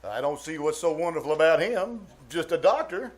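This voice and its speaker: An actor playing a bumbling, blustery middle-aged uncle who exclaims and chuckles in a live old-time radio comedy. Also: male voice, on the high side, animated, normal speed, slightly rough. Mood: jealous, huffy. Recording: noisy live theater stage recording.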